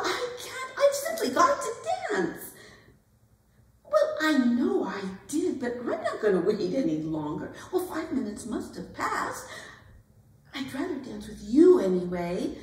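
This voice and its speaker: A woman acting a high-pitched, gushing society girl. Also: a little mellow. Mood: excited. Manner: breathless, gushing, trailing off mid-thought. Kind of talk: theatrical monologue, readers theatre. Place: performance hall at an arts center. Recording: very echoey hall, distant mic.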